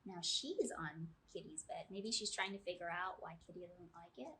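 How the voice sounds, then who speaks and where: A woman's voice: lowish voice, moderate pace, a bit bright, slight background noise. A woman in her thirties reading aloud to young children, drawing out key words and putting questions to her listeners. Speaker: children's librarian and storytime host. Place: small indoor room with brick wall.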